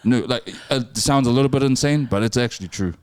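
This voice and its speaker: A young man from New Zealand, a guest telling his stories in casual podcast chat, animated and laughing as he talks. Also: a little fast, clean tone, dry recording. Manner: animated, swings high for impressions and laughs. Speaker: podcast guest, film director.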